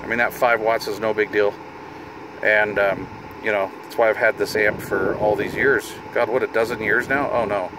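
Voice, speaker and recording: A man's voice: lowish voice, steady tone, moderate pace. A middle-aged man giving a casual hands-on demonstration as a hobbyist video host, informal and half talking to himself. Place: inside a parked vehicle. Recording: noticeable car and fan noise.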